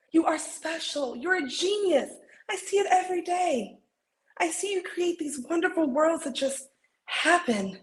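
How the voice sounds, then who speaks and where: A woman's voice: high voice, a little mellow, natural voice, poor audio. A woman performing a stage monologue as an earnest, supportive woman, heartfelt and emphatic as she encourages a loved one. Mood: admiring, insistent.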